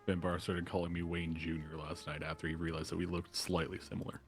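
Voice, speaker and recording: A man with a very low voice plays a new agent in a roleplay, taking sarcastic jabs at his colleagues. Faint music sits under his voice. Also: level tone, fast. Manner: sarcastic, needling. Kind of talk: in-character roleplay banter.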